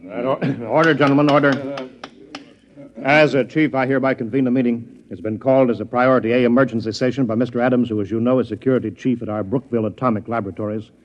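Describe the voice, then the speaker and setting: An actor playing a formal official who opens a meeting, in an old radio drama. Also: male voice, medium pitch, steady tone, close to an everyday voice. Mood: brisk. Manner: brisk, formal. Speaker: chairman of a meeting. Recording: dull old radio recording.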